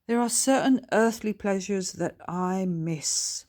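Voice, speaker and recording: A woman's voice: medium pitch, slow pace, a little mellow, close to an everyday voice. A woman voicing the jovial, genteel ghost of a grandfather in a storybook, with courteous, old-fashioned phrasing.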